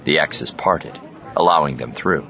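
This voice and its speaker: A man's voice: very deep voice, measured pace, sharp tone, some chatter behind. A man reading science fiction as a dramatic, scripted audiobook narration. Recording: slightly dull studio track over ambient effects.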